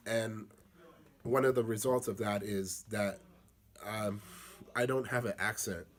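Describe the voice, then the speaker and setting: A young man from the United States answering interview questions in a halting, casual way, with many fillers and restarts. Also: on the low side, steady tone.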